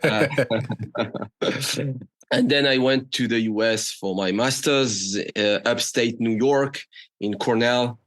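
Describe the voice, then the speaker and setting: A man's voice: medium pitch, slow pace, pauses often, a bit bright, no room sound. Man with a French accent, dry close sound. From Morocco. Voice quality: non-native English speaker. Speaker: startup founder, podcast guest. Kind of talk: conversational interview.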